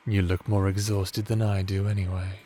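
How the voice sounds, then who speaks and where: A man playing a doting, teasing evil necromancer husband in an intimate ASMR roleplay, speaking softly with flirtatious endearments. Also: low voice, flat delivery, normal speed. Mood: warm, cheerful. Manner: soft, soothing, flirtatious, affectionate endearments.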